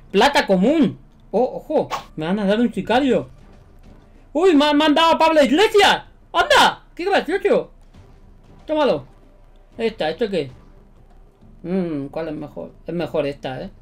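Young Spanish YouTuber from Spain, high voice, animated and slangy, repeats himself, faint music underneath. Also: male voice, moderate pace, pauses often. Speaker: gaming YouTuber. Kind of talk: excited gameplay commentary. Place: bedroom studio indoors.

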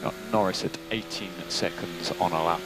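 A man working as a race engineer, giving calm, clipped technical instructions over team radio. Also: deep voice, moderate pace. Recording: noisy team radio, engine noise behind.

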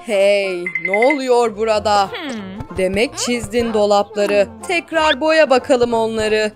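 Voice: mid-range voice, slow, natural voice. An actor voicing a bossy, scolding animal in a children's cartoon dub. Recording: music under the voice.

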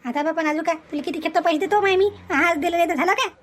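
Woman acting grumbling, high-pitched older mother-in-law. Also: racing, a little hiss or hum. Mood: weary refusing. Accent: Varhadi Marathi. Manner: grumbling, complaining in repetitive lists. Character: thrifty, exasperated older mother-in-law running the household. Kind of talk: cartoon family comedy acting.

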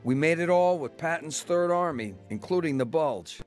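A man's voice: fairly high pitch, lively intonation, normal speed, faint music behind, natural voice. An actor playing a grown son who narrates his crooked youth and war years in a scripted radio drama, plain-spoken and matter-of-fact.

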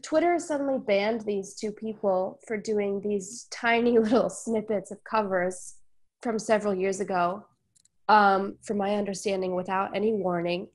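A woman's voice: mid-range voice, moderate pace. Woman, congested with a cold, mid-range voice, chatty, lots of fillers, online call. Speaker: musician guest. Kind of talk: casual discussion.

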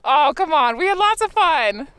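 A woman's voice: sits high, very animated, normal speed, a bit bright, a little hiss or hum. A young woman chatting cheerfully to a camera held at arm's length on a snowy mountain trail, teasing and laughing easily. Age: young adult. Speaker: vlogger, motorcyclist. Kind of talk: casual selfie vlog chat.

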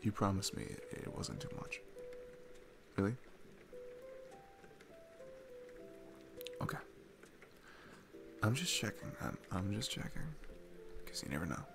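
Man acting as a deep-voiced, nervous single dad. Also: wildly swooping pitch, normal speed, vocal fry, pauses often, over music, exaggerated voice. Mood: sheepish reassurance. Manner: hesitant, rambling, self-deprecating, chuckling between phrases. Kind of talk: intimate ASMR roleplay, casual chat.

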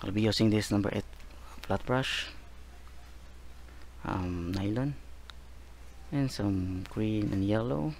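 A man teaching acrylic painting as he works, talking slowly and calmly, often saying "guys" and "there". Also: fairly low pitch, creaky, a little hiss or hum. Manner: slow, relaxed, repeats "guys" and "there", gentle reminders. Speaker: painting instructor. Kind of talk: real-time painting tutorial narration.

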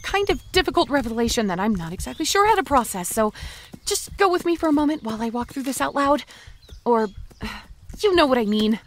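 A woman's voice: high-pitched, a little hiss or hum. A woman playing the chatty, anxious inner voice of an undead young woman, confiding in the listener in a rambling audio drama monologue.

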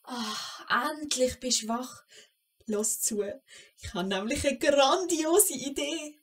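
A woman's voice: high voice, slow pace, breathy edge, far from the mic, full character voice. A woman with a Swiss German accent playing a bold, adventurous little gnome girl, eager and teasing, in a children's audio play. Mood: scheming.

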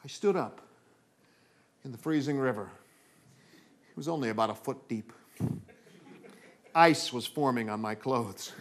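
A middle-aged American man performing theatrical comic storytelling on stage, acting out moments with dramatic delivery. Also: medium pitch. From New England, United States.

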